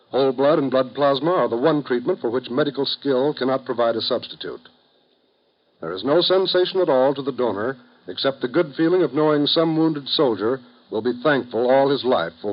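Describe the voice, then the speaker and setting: A man announcing a 1950s radio broadcast in a measured, earnest, formal manner. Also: medium pitch, muffled recording.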